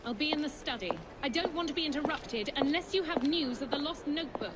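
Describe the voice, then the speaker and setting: A brusque lady villain in a Victorian video game. She speaks fast in a high, imperious voice, half buried in loud ambience. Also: lively intonation, very noisy. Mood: curt. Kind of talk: video game cutscene acting.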